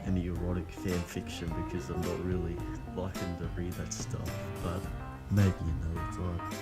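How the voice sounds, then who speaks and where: A man's voice: low-pitched, monotone, normal speed, loud music behind the voice. A man reading fanfiction aloud with frequent slips and restarts, then chatting casually about it. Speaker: fanfiction reader.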